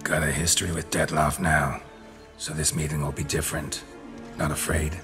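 Super low stoic monster hunter, fantasy game, terse n deadpan, bit of music under it. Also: male voice, normal speed. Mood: skeptical. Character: stoic, world-weary male monster hunter. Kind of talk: fantasy video game acting.

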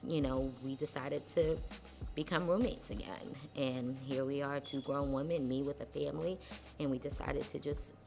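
Woman friend, interview: very low gravelly voice, reflective, soft music under. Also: fairly even intonation, moderate pace. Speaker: friend and roommate.

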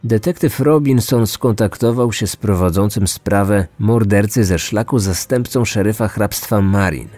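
Guy narrating a true crime podcast, kinda low voice, bit of music under it. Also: moderate pace, hardly any pauses, darkish tone, no room sound. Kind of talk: scripted documentary narration.

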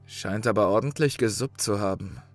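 A man's voice: fairly low pitch, moderate pace, no echo, soft background music, close to an everyday voice. An actor playing a sober, pragmatic male survival contestant in a horror audio drama, speaking matter-of-factly. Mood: calm, pragmatic. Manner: matter-of-fact.

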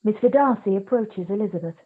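A woman reading scripted stage directions as narrator of a drama, with a husky voice. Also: mid-range voice, fast, background noise. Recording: dull, lo-fi video-call audio.

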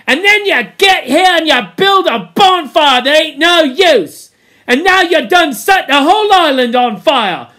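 Woman acting a shrill, indignant young schoolboy. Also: lively intonation, measured pace, a bit bright. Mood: indignant scolding. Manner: ungrammatical, shrill protesting tirade. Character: indignant panicky young schoolboy, whining and scolding.

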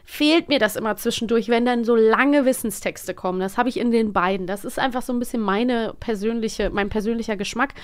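A woman's voice: a little high, brisk, few pauses, clean tone. A woman in her thirties co-hosting a podcast in casual chat, chatty and laughing often, sometimes losing her thread, on a studio mic. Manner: chatty, laughs often, trails off mid-thought. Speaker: podcast co-host.

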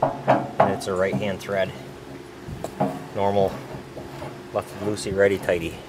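A man's voice: sits low, little pitch movement, a little slow. A man making brief, practical remarks as he helps with an assembly job. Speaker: son helping with the assembly. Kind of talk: offhand remarks while working. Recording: distant voice with loud background noise.

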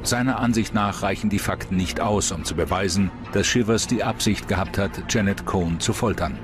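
A man's voice: on the low side, a little fast. A man reading scripted true-crime documentary narration as the narrator. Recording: music under the voice. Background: prominent music.

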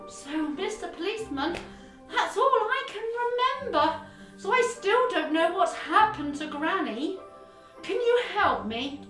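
A woman playing an excitable, kindly young girl from a fairy tale, with gasping, exaggerated delivery in interactive children's theatre. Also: extremely high pitch, a little slow, heavily acted. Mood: anxious. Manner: breathy gasps, exaggerated expressive delivery. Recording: echoey room with background music.

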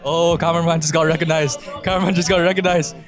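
A man's voice: a little high, little pitch movement, quick pace, music in the background. A young man vlogging in a casual, laid-back way, full of slang, at a night-time outdoor patio, talking into a handheld camera mic. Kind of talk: casual vlog chat.

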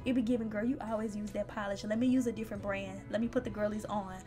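A woman's voice: mid-range voice, steady tone, fast. A young woman narrating a beauty vlog, chatty and playful, talking straight to her viewers. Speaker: beauty vlogger. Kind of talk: casual voice-over narration. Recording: faint background music.

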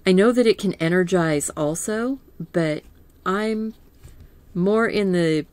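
A calm, soothing woman in middle age leads a painting lesson at an unhurried, easy pace. Her voice sits a little low and sounds close and dry, with no room around it. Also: smooth, no echo. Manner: slow, gentle, thinking aloud with small laughs. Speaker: art teacher.

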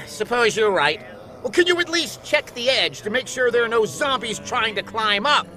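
A scheming, self-important news reporter played by a man in a spoof radio drama. His voice is extremely high for a man and swoops about, with music clearly behind it. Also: lively intonation, moderate pace, bright, forceful tone, full character voice, rough recording. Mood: bossy. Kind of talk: comedy radio play, on-the-spot report.

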